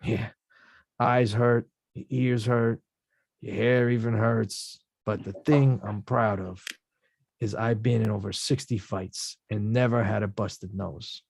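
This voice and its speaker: A man reading the part of a rough-talking young male boxer at a script table read, slangy and self-mocking. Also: fairly low pitch, fairly even intonation, slow, a little mellow, no room sound, full character voice.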